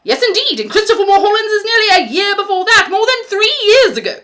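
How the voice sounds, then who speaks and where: A woman reading as a bright, earnest young man. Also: very high voice, sing-song, voice pushed up, full character voice. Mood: insistent. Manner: earnest, halting, musing. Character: polite, puzzled young man, earnest lodger. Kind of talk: storybook read-aloud.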